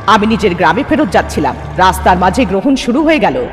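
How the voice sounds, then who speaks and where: A woman's voice: mid-range voice, very animated, fast, lightly acted. A woman playing a distressed young sorceress, narrating her ordeal in the first person in an earnest, dramatic cartoon style. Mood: grave, dramatic. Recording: long reverb under loud music.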